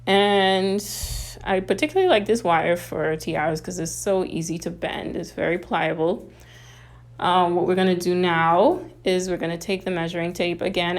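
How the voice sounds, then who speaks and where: A woman from the Bahamas with a Bahamian accent hosts a casual, chatty how-to craft tutorial, talking through each step. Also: fairly low pitch.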